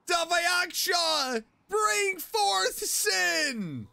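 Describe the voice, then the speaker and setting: A woman streaming a video game with expressive, jokey, casual commentary over faint game music. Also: very high-pitched, extremely slow, gravelly, shouting, background noise. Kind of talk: casual game commentary with playful line reading.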